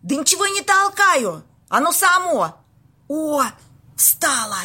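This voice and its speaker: A woman acting a bossy, streetwise young woman in an amateur audio play, husky, slangy and blunt. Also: high voice, big pitch swings, sharp tone, exaggerated voice. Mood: excited. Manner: slangy, blunt, drawn-out exclamations.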